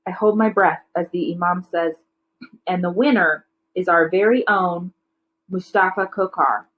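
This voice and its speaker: A woman's voice: medium pitch, low-quality recording. A woman narrating a children's novel aloud like a teacher, occasionally stumbling over words and correcting herself. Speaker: teacher reading a book aloud.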